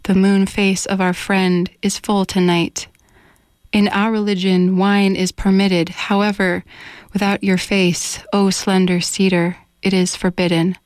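A woman's voice: mid-range voice, no room sound, clean studio sound. A woman singer-songwriter in a casual radio interview, chatty, searching for words and laughing often. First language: English. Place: radio studio.

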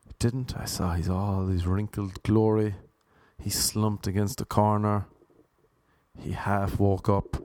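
A young man with a Cork Irish accent, telling stories in a deadpan, self-mocking, rambling way. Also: lowish voice, takes it slowly, no echo. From Ireland. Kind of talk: casual storytelling monologue.

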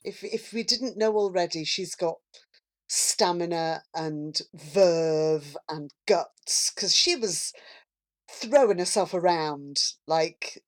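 A woman chatting casually as a podcast panellist, telling things in an animated way. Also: lowish voice, slow pace. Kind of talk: casual chat.

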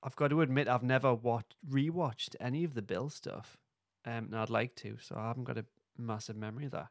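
A man's voice: medium pitch, steady tone, a little fast, no room sound, clean studio sound. A man co-hosting a podcast in loose, informal conversation. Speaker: podcast co-host. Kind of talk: casual chat.

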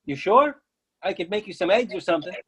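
A man's voice: sits high, lively intonation, brisk, a bit bright, breathy edge, exaggerated voice. A man acting an eager, oblivious friend with an insistent, earnest manner in a readers theatre comedy. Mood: coaxing. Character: eager, oblivious male friend pushing food, stubbornly cheerful.

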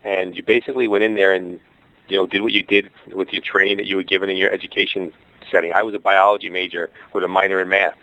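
A man's voice: sits low, little pitch movement, quick pace, bright, forceful tone, dry recording, dull audio. A middle-aged man with a Brooklyn accent, a school founder answering interview questions in a rambling way, with many fillers and restarts. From Brooklyn, New York. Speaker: guest, charter school founder.

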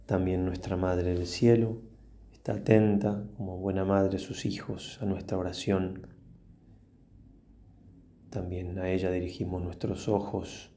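Deep, mellow priest guiding prayer. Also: male voice, little pitch movement, slight background noise. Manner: slow, reverent, with long pauses. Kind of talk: guided religious meditation and prayer.

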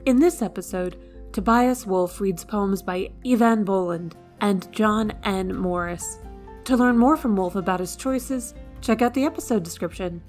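A woman's voice: mid-range voice, a little slow, a little mellow. A woman reading a scripted podcast introduction as the show's announcer. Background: music.

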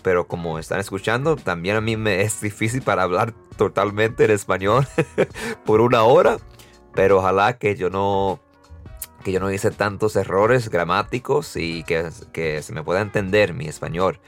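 Young man, dominican-american accent, lowish voice, laughs a lot, faint music behind. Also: normal speed. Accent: Dominican and American-tinged Spanish. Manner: warm, laughs often, restarts words. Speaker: podcast host. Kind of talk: casual interview and outro.

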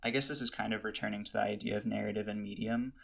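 Male interviewer, gravelly and monotone, halting and hedging as he asks. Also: lowish voice. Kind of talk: casual interview questions.

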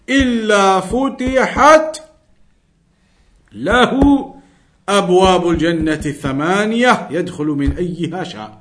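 A man with a notably high-pitched voice teaches an Islamic lesson. He reads narrations and explains them with emphasis, restating key phrases so that they are clear. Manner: emphatic, repeats and rephrases phrases for clarity. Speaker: religious teacher.

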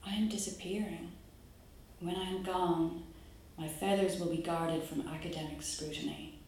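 An Irish woman from Dublin, a poet who talks her audience through her work in a musing way, pausing on fillers, then reads her poems aloud at a live event. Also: fairly low pitch, fairly even intonation, darkish tone, a little hiss or hum. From Ireland. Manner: musing, with hesitant fillers between phrases. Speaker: poet giving a reading. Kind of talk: poetry reading with spoken introduction. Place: live reading venue. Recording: very echoey room.

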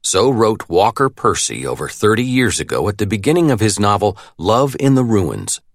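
A man reading a nonfiction audiobook as narrator, with a measured, articulate delivery. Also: fairly low pitch, big pitch swings, dry recording, studio quality.

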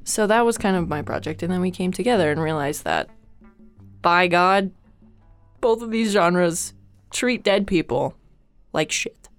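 A woman in her twenties co-hosting a podcast in casual chat, self-mocking and quick to laugh. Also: lowish voice, soft background music. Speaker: podcast co-host.

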